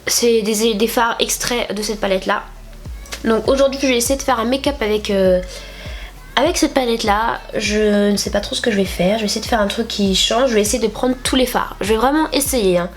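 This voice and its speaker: A woman's voice: fairly high pitch, a little fast, faint music behind. A young woman with a cold and a nasal voice, chatting casually through a makeup tutorial with lots of fillers. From France. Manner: chatty, rambling with many fillers. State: stuffy nose from a cold. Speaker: beauty vlogger.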